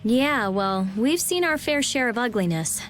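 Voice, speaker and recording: A woman's voice: fairly high pitch, expressive, measured pace, soft background music. A woman playing a blunt, impatient teenage girl mage in a video game, with a grumbling manner. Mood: exasperated.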